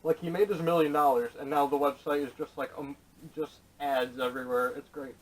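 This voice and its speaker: A man's voice: fairly high pitch, fairly even intonation, a little fast. A man chatting casually on a podcast in a blunt, opinionated way, recorded through a distant camera microphone. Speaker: podcast panelist. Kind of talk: casual chat. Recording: distant camera audio with noise.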